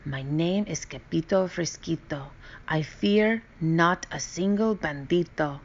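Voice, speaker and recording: A woman's voice: medium pitch, very animated, a little fast, vocal fry, fairly bright tone, voice pushed up, heavily acted. A woman voicing a brave, boastful young kitten who pretends to be a chihuahua sword fighter, with mock Spanish flair and dramatic exclamations. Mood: hushed. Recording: dull lo-fi recording.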